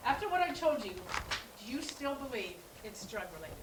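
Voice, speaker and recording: A woman's voice: fairly high pitch, very animated, normal speed. Echoey, distant woman acting an exasperated daughter. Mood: incredulous. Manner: confrontational, incredulous, challenging. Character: exasperated adult daughter, protective of her ill brother. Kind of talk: staged reading of a drama. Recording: echoey room, distant mic, background noise.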